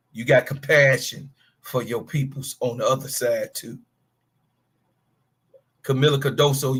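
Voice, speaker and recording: A man in his fifties, co-hosting a sports talk show, ranting with emphatic, profane call-outs. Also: mid-range voice. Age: 50s. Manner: profane, emphatic, calls out players by name. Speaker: podcast co-host. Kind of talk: heated sports talk rant.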